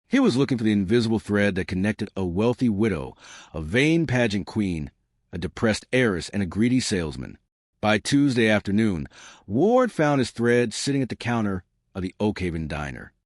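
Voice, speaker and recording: Man narrating a dark mystery, very dry sound. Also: on the low side, very animated, moderate pace, no echo. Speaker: audio drama narrator.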